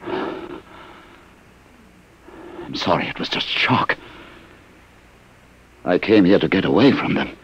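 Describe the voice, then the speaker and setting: An actor playing a weary, frightened older scientist with a gravelly, husky voice and formal manner, in 1950s radio drama style. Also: male voice, low voice, expressive, takes it slowly, long pauses, a bit bright, exaggerated voice. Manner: formal, swinging from subdued to alarmed. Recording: dull vintage 1950s radio recording.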